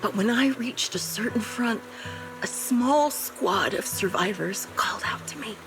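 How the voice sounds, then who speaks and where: An actress playing a bitter, vengeful, dying veteran sniper in a video game, speaking in a grave, halting way. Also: female voice, on the high side, rough recording. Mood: weary, resigned. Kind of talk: dramatic video game voice acting. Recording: music behind the voice.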